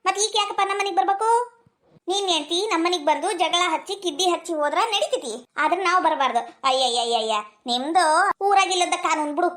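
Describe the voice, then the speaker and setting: Spiteful older mother-in-law played by a woman, very high-pitched, melodramatic, North Karnataka accent, for family drama. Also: quick pace, a bit bright. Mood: taunting. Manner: taunting, melodramatic. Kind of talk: amateur family drama skit.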